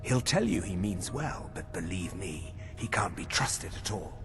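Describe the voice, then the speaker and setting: A scheming vampire courtier in a fantasy game, confiding and insinuating. The lowish voice is slow, with a long hall reverb around it. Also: male voice, very animated. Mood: confiding, warning. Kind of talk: video game dramatic voice acting. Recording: long echoing hall, music behind.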